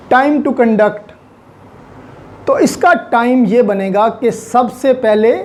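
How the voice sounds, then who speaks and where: Male law lecturer, Pakistani accent, high mellow voice, explanatory, restates points, sprinkles in English terms. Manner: repeats and rephrases points, mixing in English terms. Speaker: law teacher.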